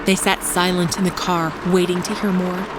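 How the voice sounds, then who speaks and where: A woman's voice: on the low side, level tone, normal speed. Female storyteller over noisy ambience. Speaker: storyteller, podcast narrator. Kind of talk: scripted narration of dark suspense story.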